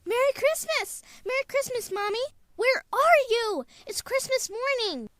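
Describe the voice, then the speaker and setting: A woman playing an innocent, excitable little boy in an old-time radio drama, with a childlike, earnest delivery. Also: extremely high pitch, no room sound, heavily acted. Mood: excited. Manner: childlike, earnest, halting when confessing.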